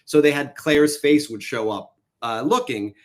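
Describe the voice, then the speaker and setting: A man, a co-writer, chatting casually on a live stream, telling stories animatedly with quick asides. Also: on the high side, slight background noise. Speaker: co-writer of a musical.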